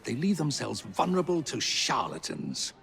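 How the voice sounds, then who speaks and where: An actor playing a genteel Victorian gentleman in a video game, speaking in florid phrases with dramatic pauses. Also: mid-range voice, wildly swooping pitch, creaky, soft background music, exaggerated voice. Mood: grand, persuasive. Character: genteel, theatrical Victorian gentleman, a self-declared skeptical ghost investigator. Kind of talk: video game cutscene acting.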